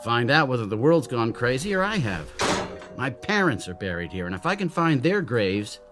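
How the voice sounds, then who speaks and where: An actor playing a tormented young man fighting a dark urge, tense and intense, in a radio drama. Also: medium pitch, very animated, normal speed, noisy background, natural voice. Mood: uneasy, insistent. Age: young adult. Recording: music behind the voice.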